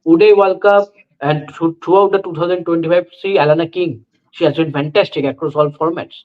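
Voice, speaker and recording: A man's voice: fairly high pitch, fairly even intonation, brisk, narrow, tinny audio. A man, earnestly making his case as a cricket analyst in a casual live Q&A, often leaning on "you know" and "I think".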